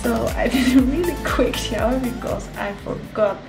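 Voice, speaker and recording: A woman's voice: fairly high pitch, normal speed. A woman in her thirties chatting upbeat to a handheld camera in a wooden holiday house. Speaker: vlogger. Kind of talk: casual vlog chat. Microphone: action camera held at arm's length. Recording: loud background music. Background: music playing behind.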